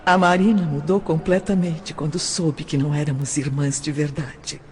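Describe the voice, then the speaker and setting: A woman with a Brazilian accent playing a lonely, wounded but polite adopted girl of seventeen in an audio drama, speaking in a measured way. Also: low-pitched, steady tone, darkish tone, close to an everyday voice. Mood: hurt, bitter. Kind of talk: audio drama acting, first-person recollection and dialogue. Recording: long hall reverb, music behind.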